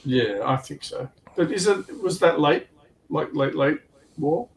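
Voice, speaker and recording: A man in casual, halting discussion as a livestream co-host, thinking aloud in an informal way. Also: a little high, vocal fry. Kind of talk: casual hobby and military history discussion. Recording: faint background noise, room ambience.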